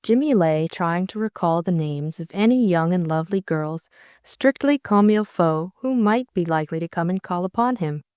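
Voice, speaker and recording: A woman's voice: fairly low pitch, lively intonation, moderate pace, darkish tone, smooth, dry recording, studio quality. A woman reading scripted story narration as an audiobook narrator.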